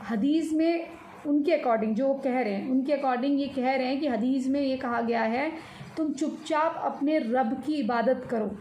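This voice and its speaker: A woman with an Indian accent giving informal news commentary, explaining in a halting, repetitive way and mixing in English words. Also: high voice, a little mellow. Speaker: commentator on social and religious news. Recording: echoey room with faint noise.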